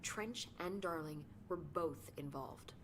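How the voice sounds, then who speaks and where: A curious, quick-talking researcher from a video game, her voice sitting a little low for a woman. She rattles off findings in an informative, slightly excited way, with steady noise under the game audio. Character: eager female bureau researcher, brisk and curious.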